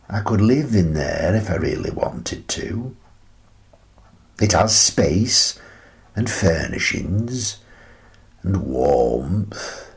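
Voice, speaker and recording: An actor playing a gentle, wistful, eccentric old British grandfather in a radio play monologue, rambling reflectively with hesitant pauses. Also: sits low, full character voice. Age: older.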